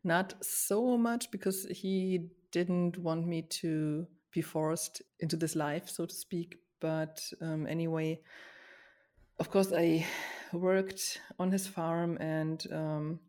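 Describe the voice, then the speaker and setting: A woman talking casually about herself in a reflective, slightly halting way, as in a conversation between co-hosts. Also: lowish voice, fairly even intonation, mellow. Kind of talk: casual personal chat.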